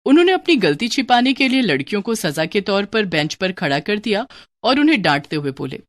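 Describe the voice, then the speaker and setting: A woman's voice: mid-range voice, big pitch swings, a little fast, no echo, clean studio sound. A woman narrating a scripted children's story.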